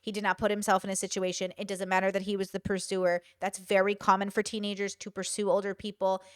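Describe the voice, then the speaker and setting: A young woman hosting a commentary show, talking straight to her viewers in a casual, animated way with some swearing. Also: mid-range voice, quick pace, smooth, no room sound, clean studio sound.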